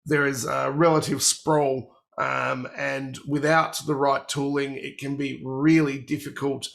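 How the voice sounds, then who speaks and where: A man with a cold and a croaky, gravelly voice, presenting a technical workshop and walking through product features. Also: medium pitch, flat delivery, a little slow, a bit bright.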